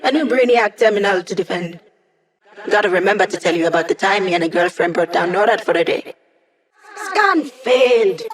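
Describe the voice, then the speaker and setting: A woman's voice: mid-range voice, close to an everyday voice. A quirky young hacker from a video game, played by a woman, a digital being with an upbeat streak. She speaks in a mid-range female voice, chatty and playful, with a wry edge to her delivery. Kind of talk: video game character dialogue.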